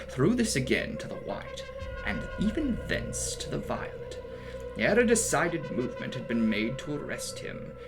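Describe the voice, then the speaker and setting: A man's voice: medium pitch, rough recording. A man narrating a horror story as a dramatic audiobook reading, with a theatrical, solemn and emphatic delivery. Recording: music behind the voice. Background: music.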